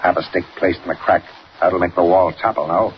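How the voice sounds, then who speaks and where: Man playing a deep-voiced, sinister old-radio villain. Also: steady tone, a little fast, lightly acted. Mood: scheming. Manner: commanding, curt, sly. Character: sinister, scheming male factory owner, impatient and menacing. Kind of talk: 1940s radio acting. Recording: muffled, noisy 1940 radio recording.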